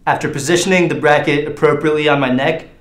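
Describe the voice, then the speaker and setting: A man in his twenties giving a scripted presentation as a student, formal and measured, standing indoors a few metres from the camera. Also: on the high side, fairly even intonation, a bit bright. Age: 20s. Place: bare indoor room. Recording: roomy, echoey sound.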